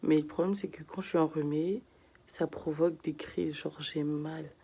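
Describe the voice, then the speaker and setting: A woman's voice: sits low, fairly even intonation, normal speed, warm tone, husky. A woman vlogging from her bed while sick and in pain, talking casually to her viewers, tired and sometimes dropping to a whisper. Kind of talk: casual vlog talk to camera. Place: at home in bed.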